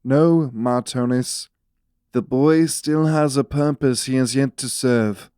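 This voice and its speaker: Imperious, cryptic empire minister male actor, solemn and unhurried, resonant clean tone, fantasy radio play. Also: mid-range voice, fairly even intonation, mellow, clear, resonant tone, no room sound, clean studio sound, close to an everyday voice. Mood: firm. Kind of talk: fantasy audio drama acting.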